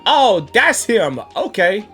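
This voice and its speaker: Expressive male gaming reactor. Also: sits high, slow pace, soft background music. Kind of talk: casual live reaction commentary.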